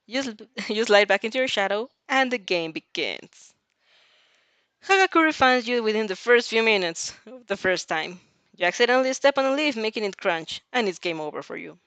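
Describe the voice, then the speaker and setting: A woman's voice: a little high, expressive, normal speed, a bit bright, clean tone, dry recording. A woman reading a fan story aloud informally, giggly and stumbling over lines as she reacts to it. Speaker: narrator and reactor of fan fiction.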